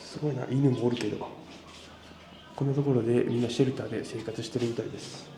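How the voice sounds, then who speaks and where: A man's voice: medium pitch, fairly even intonation, rapid-fire, warm tone. Chatty man on a travel vlog. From Japan. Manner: upbeat, chatty, switches to simple Spanish and English with strangers. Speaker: travel vlogger. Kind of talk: handheld travel vlog narration and street interviews. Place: outdoors at a migrant shelter by railway tracks.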